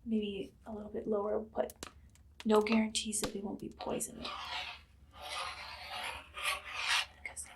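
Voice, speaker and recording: Woman playing a whispering evil queen, softly menacing. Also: a little high, background noise, close to an everyday voice. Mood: dryly menacing. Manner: soft, slow, commanding, mostly whispered. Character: evil, menacing queen giving orders with dry humor. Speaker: ASMR roleplay performer. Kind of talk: whispered ASMR roleplay.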